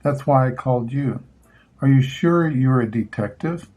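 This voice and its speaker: A man's voice: medium pitch, normal speed, mellow, close to an everyday voice. An older man reading the lines of a young girl in a storybook, plain-spoken and direct, with simple childlike phrasing. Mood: plain, explaining.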